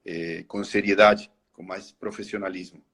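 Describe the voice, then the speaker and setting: A man's voice: low voice, little pitch movement, moderate pace, fairly bright tone. A middle-aged man from Argentina whose first language is Spanish, with an Argentine Spanish accent, telling his story as a guest on a live interview and hesitating often. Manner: hesitant, restarts phrases, frequent "né?". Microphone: video call.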